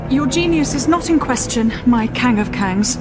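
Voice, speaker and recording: A woman playing a sly, flattering villain's consort in a video game, with a dry manner. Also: on the high side, moderate pace, loud music behind the voice, close to an everyday voice. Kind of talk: video game cutscene acting.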